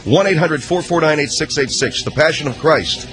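A man hosting a talk radio call-in show, briskly moving calls along. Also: fairly high pitch, over loud music, poor audio. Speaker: radio host. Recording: hissy radio broadcast.

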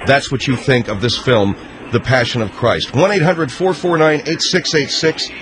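A man hosting a talk radio call-in show, briskly moving calls along. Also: mid-range voice. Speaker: radio host. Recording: hissy radio broadcast.

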